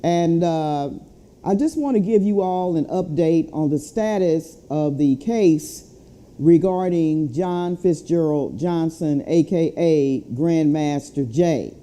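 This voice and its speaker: A woman speaking as an advocacy leader, giving a formal update and reading a letter aloud in a deliberate manner. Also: low-pitched, dark tone. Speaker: civil rights chapter president.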